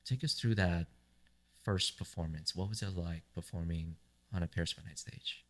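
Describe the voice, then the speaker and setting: A man with a very low voice hosts a podcast. He asks his questions in a relaxed, casual way, often using "um" and "like". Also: normal speed. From United States. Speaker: podcast host. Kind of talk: casual interview chat.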